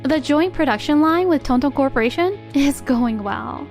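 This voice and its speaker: A woman's voice: sits high, expressive, normal speed, a little mellow, soft background music. A woman playing an earnest, composed young engineer in a manga dub, speaking politely. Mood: pleased.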